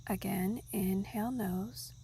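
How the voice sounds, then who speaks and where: A woman leads a yoga nidra practice in a soft, breathy voice that slips toward a whisper. Her delivery is very unhurried and soothing, with a warm, relaxed tone. Faint insect sounds sit behind her voice. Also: medium pitch, a little hiss or hum. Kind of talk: guided meditation narration.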